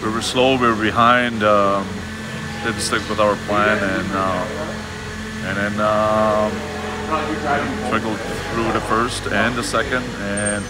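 Low-voiced German-accented coach, halting. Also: male voice, level tone. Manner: halting, many fillers and restarts. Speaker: hockey head coach. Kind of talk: postgame press interview. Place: arena hallway, indoors. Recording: loud background noise.

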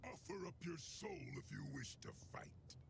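An actor playing a menacing, haughty demonic ancient turtle warrior in a video game, with a growling, imperious, theatrical delivery. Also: male voice, high-pitched, lively intonation, normal speed, in a whisper. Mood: menacing, contemptuous. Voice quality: gravelly, growling. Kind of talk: video game character voice lines.

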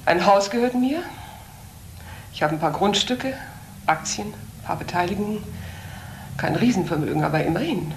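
An actress plays a stern, middle-aged woman doctor in a crime radio drama. She speaks precisely and gravely, with commanding authority and a medium-pitched voice. Some background noise sits under the recording. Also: lively intonation, moderate pace, pauses often, natural voice. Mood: grave, accusing. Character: stern, well-to-do woman doctor, reproachful aunt. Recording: noticeable background noise, old broadcast audio.

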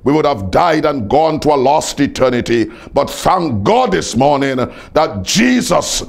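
A man's voice: fairly high pitch, very animated, measured pace, gravelly. A man preaching a fervent evangelistic sermon in an emphatic, declamatory way, repeating phrases for effect.

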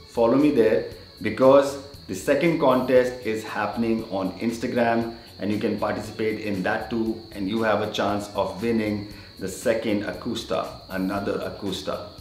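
A man's voice: lowish voice, unhurried, reverberant. A man in his thirties talking to camera in an upbeat, chatty, emphatic way, as a casual video host. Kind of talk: casual vlog talk to camera, giveaway announcement. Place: indoor room with shelves. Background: faint background music.

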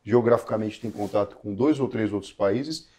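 A man in his forties hosting an interview, asking quick questions and building on his guest's points, on a lapel mic in a small studio. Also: on the low side, dark tone. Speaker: host, doctor. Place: small studio, seated on a sofa.

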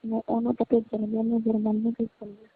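A woman's voice: a little high, completely flat delivery, fast, breathy edge. Young woman on a tinny phone line. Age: young adult. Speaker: niece on a phone call. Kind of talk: casual private phone chat. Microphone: telephone. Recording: tinny, band-limited phone call recording.

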